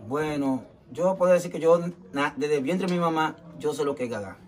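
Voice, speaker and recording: A middle-aged Dominican man with a Dominican Spanish accent, answering interview questions in a plain, calm and devout way. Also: a little high, a little fast. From Dominican Republic. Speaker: interviewee, gagá musician and manager. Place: small wooden shrine shack, daylight.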